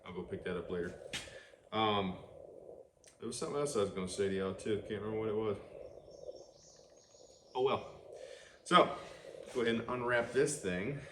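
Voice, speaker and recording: A man's voice: lowish voice, moderate pace, pauses often. A man, hosting a casual workshop vlog and chatting while he works on an engine in an outdoor garage. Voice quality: loud voice. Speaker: channel host. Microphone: camera microphone, no external mic. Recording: roomy, noisy workshop ambience.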